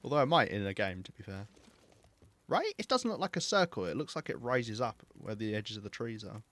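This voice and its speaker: A man with a southern English accent, chatting casually and self-mockingly while streaming a game, with a calm, soothing voice. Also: lowish voice, brisk. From southeast England. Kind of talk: casual gameplay chat.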